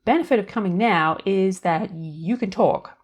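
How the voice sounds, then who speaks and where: A woman's voice: mid-range voice, moderate pace, husky, lightly acted. A woman voicing a tiny, cheerful, scatterbrained older fairy godmother in a storybook reading, breezy and chatty.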